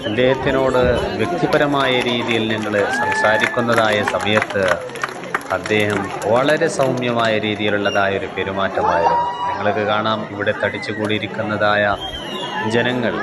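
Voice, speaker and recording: A man narrating a video for viewers in deliberate, halting phrases. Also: fairly low pitch, fairly even intonation. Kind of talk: explanatory voice-over narration addressed to viewers. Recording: loud crowd and outdoor noise behind.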